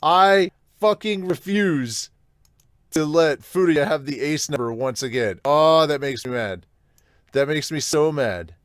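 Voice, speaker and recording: A man co-hosting a casual manga reaction podcast, blunt and excitable, given to swearing and reading comments aloud. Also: a little high, a little slow, no room sound, studio quality. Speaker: podcast co-host reviewing manga.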